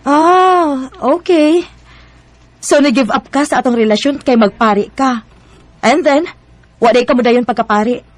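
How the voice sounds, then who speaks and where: An actress playing an emotional wife in a radio drama, loving but easily hurt, with expressive delivery. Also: female voice, high voice, unhurried. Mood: curt, hurt.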